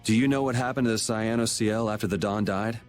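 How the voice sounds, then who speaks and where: A man's voice: on the low side, steady tone, fast, soft background music, lightly acted. An actor playing a casual, direct young male adventurer in a video game.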